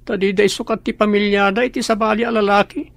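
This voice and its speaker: Woman as bitter big brother, young boy, gravelly edge, resigned, dry close recording, radio drama. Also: mid-range voice, steady tone, fast, no echo, close to an everyday voice. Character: older brother, a resentful boy, bitter about mother.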